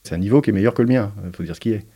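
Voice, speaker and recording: A man giving an interview as a parent, speaking in a hesitant, thoughtful way with frequent pauses and fillers. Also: lowish voice, dark tone, husky. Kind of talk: reflective interview testimony.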